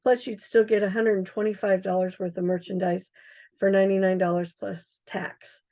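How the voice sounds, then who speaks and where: A middle-aged woman with a hoarse, froggy voice, chatting casually as she demonstrates craft supplies on a livestream, with many ums and uhs. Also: mid-range voice, flat delivery, normal speed, mellow. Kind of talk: casual live product demonstration.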